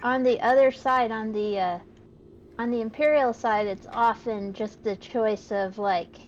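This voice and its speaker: A woman's voice: fairly high pitch, steady tone, unhurried. A woman co-hosting a game stream, talking casually over voice chat. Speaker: co-host, fellow gamer. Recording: dull lo-fi voice chat with noise.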